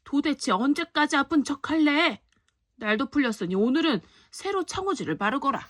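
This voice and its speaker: Woman acting a harsh, spiteful storybook stepmother, high-voiced. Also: sing-song, normal speed, no echo, clean studio sound. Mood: angry scolding. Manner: harsh, sharp scolding. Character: cruel scolding stepmother, shrill, two-faced and spiteful.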